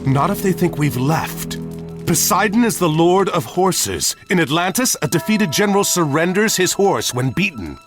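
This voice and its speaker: A bold heroic commander in a mythological game. His voice is fairly high and very expressive, giving decisive orders over faint music. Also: moderate pace. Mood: resolute. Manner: authoritative, decisive. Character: bold, commanding Greek-myth war hero admiral. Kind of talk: dramatic game cutscene acting.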